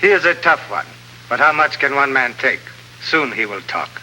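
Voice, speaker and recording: An actor playing a suave, menacing ringleader in a 1950s radio drama, speaking formally and deliberately. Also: male voice, medium pitch, lively intonation, pushed and bright, poor audio. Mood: quiet menace. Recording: old radio recording, faint hum and noise.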